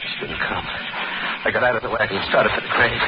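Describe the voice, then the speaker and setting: A man's voice: lowish voice, a little fast, close to an everyday voice. An actor playing a desperate, stammering fall guy who narrates his own tale in a melodramatic 1940s radio drama style. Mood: panicked. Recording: noisy, muffled 1940s radio recording.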